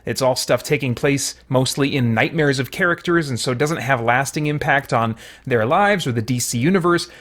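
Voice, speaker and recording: A man's voice: medium pitch, moderate pace, few pauses, fairly bright tone. A man hosting a review show, talking through comic books in a casual, unscripted and discursive way, frank about his own tastes.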